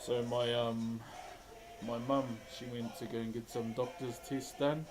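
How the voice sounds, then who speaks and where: A man with a New Zealand accent talks in a laid-back, slangy way and chuckles now and then. His voice sits at an ordinary pitch. Music plays clearly behind him. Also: little pitch movement, unhurried. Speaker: wood carver streaming live. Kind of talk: casual chat with live viewers. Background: background music.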